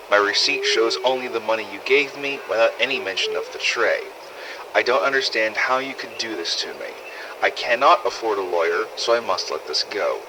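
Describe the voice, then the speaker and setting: A man's voice: lowish voice, lightly acted. A man voicing an indignant, formal woman reading out a letter of complaint in a fan audio drama. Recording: clearly noisy recording.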